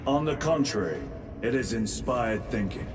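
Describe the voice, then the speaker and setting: A man's voice: mid-range voice, expressive, normal speed, noisy background, lightly acted. Man acting a terse, stoic warrior lieutenant. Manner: terse, controlled, formal. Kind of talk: dramatic video game acting. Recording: distant voice under loud music.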